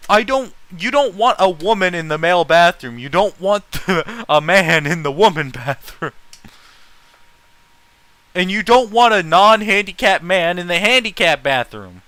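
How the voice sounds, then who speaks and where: A man's voice: sits high, animated, unhurried, bright, forceful tone, dry recording. A man in casual, opinionated stream chat, talking bluntly and swearing freely.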